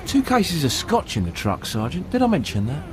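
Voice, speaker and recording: An actor playing a terse, canny British commando lieutenant in a radio drama, clipped and businesslike. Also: male voice, fairly high pitch, huge pitch swings, normal speed, background noise. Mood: businesslike, sly.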